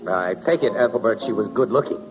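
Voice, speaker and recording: A man's voice: lowish voice, brisk, dull audio, music in the background, close to an everyday voice. A man playing an easygoing, wry newspaper photographer in an old radio drama, casual and teasing. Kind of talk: 1940s radio drama acting. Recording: old radio broadcast recording.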